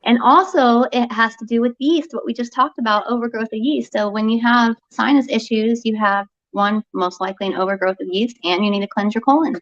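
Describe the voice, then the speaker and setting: A woman speaking as a health coach guest, animated and often restarting her sentences. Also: fairly high pitch, fairly quick, hardly any pauses, highs cut off. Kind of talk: casual health talk show chat.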